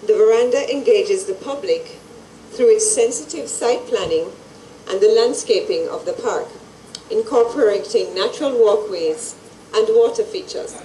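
A middle-aged woman reading a formal scripted presentation at an event in a measured way. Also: mid-range voice, animated, warm tone, echoey room, far from the mic, slight background noise, low-quality recording. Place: large indoor event hall with stage and audience. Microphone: handheld microphones at a lectern, through PA.

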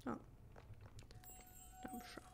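A woman's voice: slow pace, faint music behind. A woman giving casual gameplay commentary, musing aloud half to herself. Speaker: let's play host.

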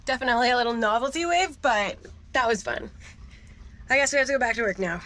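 A woman's voice: a little high, fast. A woman in her thirties chatting casually to the camera about sailing life, upbeat and chatty with fillers. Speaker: sailing vlogger. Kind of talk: casual vlog talk to camera. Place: boat cabin, indoors.

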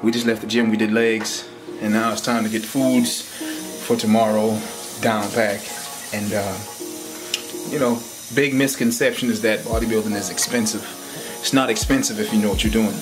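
A man's voice: lowish voice, steady tone, normal speed, music in the background, low-quality recording. A young man casually talking through his meal prep in a home kitchen, with a laid-back, slangy way of talking into a handheld camera. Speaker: fitness vlogger. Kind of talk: casual cooking vlog. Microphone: handheld camera mic.

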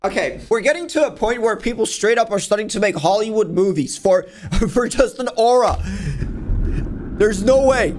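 A young man giving casual, excitable reaction commentary as host of a gaming video, informal and full of exclamations. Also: high-pitched, normal speed, slight background noise. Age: young adult. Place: indoor room at a desk. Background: music from the videos he watches.

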